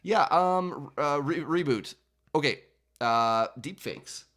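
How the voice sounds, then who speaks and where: A man co-hosting a casual podcast, loud and animated as he retells film plots, sitting very close to the mic. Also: on the high side, slow. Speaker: podcast co-host. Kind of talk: casual chat. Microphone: close mic he leans into.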